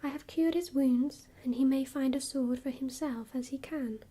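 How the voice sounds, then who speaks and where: A woman whispering the lines of a strange old male clockmaker godfather in a grating, monotonous manner. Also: high-pitched, moderate pace, breathy edge. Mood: irritated.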